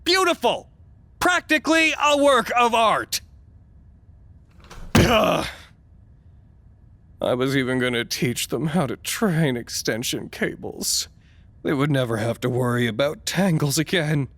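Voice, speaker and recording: An actor playing a fussy, self-important, easily wounded doctor in an audio drama, with a pompous, theatrical delivery. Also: male voice, on the high side, lively intonation, a little slow, long pauses, a bit bright, no room sound. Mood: boastful, exasperated, then aggrieved. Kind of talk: dramatic monologue, audio log.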